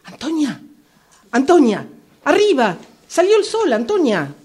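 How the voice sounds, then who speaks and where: A woman in an amateur radio drama playing a grumpy, pious retired old woman with a husky Rioplatense Argentine accent, complaining and exclaiming melodramatically. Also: high-pitched, huge pitch swings, unhurried, darkish tone, full character voice. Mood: urgent.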